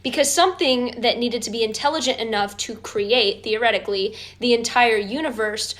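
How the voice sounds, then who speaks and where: A woman's voice: on the high side, moderate pace, a bit bright. A woman delivering argumentative points in a scripted style.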